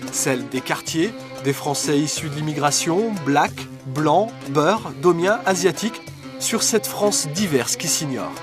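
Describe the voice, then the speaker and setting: A man reading a documentary voice-over in a measured, earnest way. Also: on the high side, animated. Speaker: documentary narrator. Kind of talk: scripted voice-over narration. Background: music.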